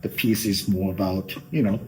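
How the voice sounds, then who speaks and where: A man's voice: low-pitched, fairly even intonation, normal speed, a little hiss or hum, rough recording. A middle-aged man from Japan with a Japanese accent, a guest giving thoughtful interview answers with many fillers like "you know".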